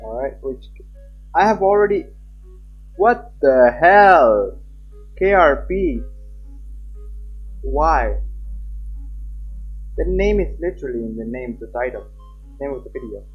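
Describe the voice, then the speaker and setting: A streamer reading a visual novel aloud live and chatting casually with viewers. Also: male voice, mid-range voice, slightly rough, muffled recording, faint music behind. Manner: animated, chatty, quick laughs.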